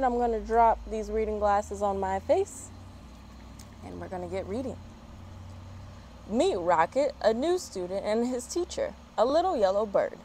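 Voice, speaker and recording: A woman's voice: mid-range voice, darkish tone. An American woman hosting a children's story time, reading and chatting expressively outdoors. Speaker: story time host and children's book reader. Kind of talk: children's read-aloud with friendly chat. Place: outdoors in a yard. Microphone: small external microphone. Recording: outdoor street-work and traffic noise. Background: street work, traffic, outdoor ambience.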